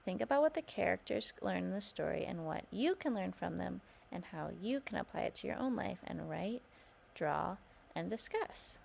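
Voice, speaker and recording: A woman's voice: on the low side, expressive, moderate pace, creaky, darkish tone, slight background noise. A woman reading a children's storybook aloud as scripted narration.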